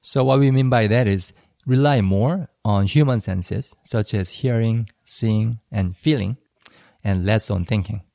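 A man co-hosting a podcast, giving advice in a calm, measured way. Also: lowish voice, gravelly, dry recording, studio quality. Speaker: podcast co-host. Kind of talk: casual conversational podcast.

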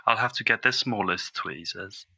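A man's voice: lowish voice, normal speed, sharp tone, husky, exaggerated voice. A man playing an earnest, bumbling caller on a comedy podcast, fussy and overly polite. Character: earnest bumbling male bushel-farmer caller.

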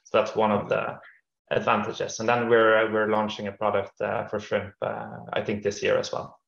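A man from northern Norway, a startup CEO, answering interview questions in a measured way with frequent restarts over a video call. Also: on the low side, monotone, vocal fry, a bit bright, echoey room, muffled recording. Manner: measured, with frequent repeats and fillers.

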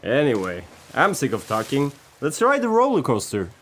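A man's voice: medium pitch, wildly swooping pitch, normal speed, a bit bright. A man playing a melodramatic band member and self-styled poet in an amateur radio play, stumbling over his script. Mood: casual.

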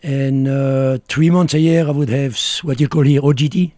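Middle-aged French man with a mellow voice. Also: medium pitch, fairly even intonation, moderate pace, no room sound, clean studio sound. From France. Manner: blunt, short broken phrases. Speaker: guest. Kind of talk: casual interview chat.

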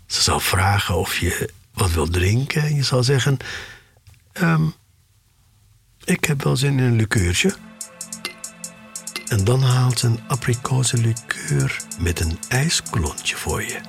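A defiant middle-aged secret collector, slowish and theatrical, audio drama w music behind. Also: male voice, mid-range voice, lively intonation, vocal fry, slightly rough, heavily acted. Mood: confiding. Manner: confiding, theatrical, halting and emphatic. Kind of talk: dramatic first-person audio drama monologue.

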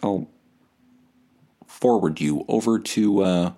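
A man's voice: low-pitched, takes it slowly, mellow. A young man hosting a casual, rambling solo podcast in a profane, slangy, self-deprecating way. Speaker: podcast host.

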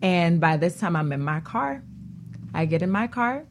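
Woman, lower pitch, casual talk show guest, chatty storytelling, quotes dialogue as she goes. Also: expressive, normal speed, slight background noise.

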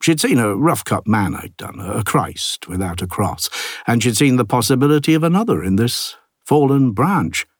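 A man narrating an audiobook as an ageing village artist, a plain-spoken, gruff and reflective loner, with a husky low voice and a wry, understated delivery. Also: big pitch swings, moderate pace, no room sound, studio quality. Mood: quiet, reflective. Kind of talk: first-person literary audiobook narration.

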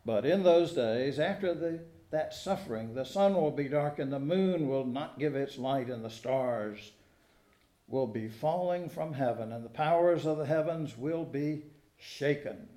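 Slow-speaking male preacher in a roomy space. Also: fairly high pitch, mellow. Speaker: preacher at a church service. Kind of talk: sermon with scripture reading. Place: indoors in a church. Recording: roomy, echoing church sound.

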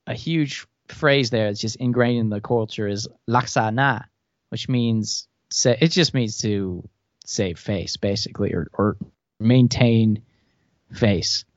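A man's voice: lowish voice, takes it slowly, dry recording. Rambling man with a dull, dry sound. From grew up in Thailand. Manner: rambling, many restarts and fillers. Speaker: podcast guest. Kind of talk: casual political chat.

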